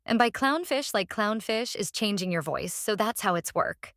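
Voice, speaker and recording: A woman narrating a casual software tutorial, explaining step by step in a halting way and repeating phrases. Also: mid-range voice, animated, no echo, studio quality. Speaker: tutorial presenter.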